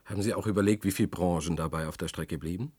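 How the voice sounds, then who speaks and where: An actor playing a shrewd senior trade union official in a German radio play, formal, eloquent and pointed. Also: male voice, low voice, quick pace, a little mellow, breathy edge, dry recording. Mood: arguing, grave.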